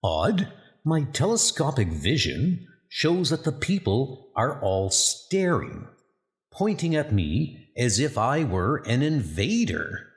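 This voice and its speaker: Noble comic book hero, played by a man: dutiful, exclamatory, mid-range voice with lively swings. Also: medium pitch, slow. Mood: bewildered. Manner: earnest, exclamatory. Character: noble, earnest male superhero, exclaiming and dutiful. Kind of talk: comic book dub acting.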